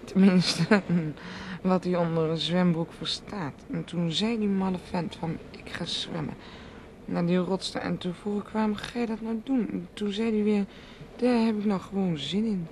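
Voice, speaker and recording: A woman's voice: lowish voice, fairly even intonation, a little slow, a little hiss or hum. Dreamy, teasing woman in a radio play. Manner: musing, repetitive, breaks into soft laughs. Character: dreamy, rambling, teasing woman on an island at night. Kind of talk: Dutch radio play acting.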